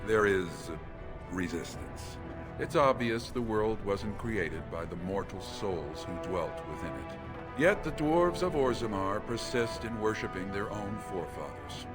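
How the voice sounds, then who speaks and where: A man's voice: on the low side, animated, unhurried, over music. A man acting as an earnest, pious missionary brother in a video game, speaking in a preachy way.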